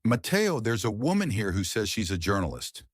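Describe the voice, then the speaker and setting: A man's voice: fairly low pitch, very animated, moderate pace, husky, no room sound, studio quality, voice pushed up. Man voicing a polite woman office assistant. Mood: alert. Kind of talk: audiobook-style story dialogue.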